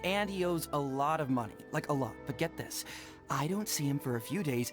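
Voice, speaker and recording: A man playing a gossipy, enthusiastic promoter in a video game, persuasive and salesman-like as a storyteller. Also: high voice. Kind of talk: animated game dialogue.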